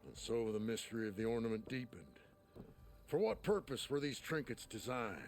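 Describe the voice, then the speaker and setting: An actor playing a hearty old wordsmith in a video game, telling things in a grandiose, theatrical, gravelly voice. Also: male voice, medium pitch, expressive, moderate pace, a little mellow, lightly acted. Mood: grand, dramatic. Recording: music behind the voice.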